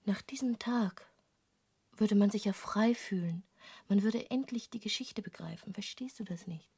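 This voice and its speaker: An actress in a literary radio play playing an intense, searching woman painter, speaking intimately and deliberately in a breathy, creaky half-whisper. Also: medium pitch, darkish tone. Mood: yearning, intense. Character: intense female painter hungry for forbidden knowledge, musing.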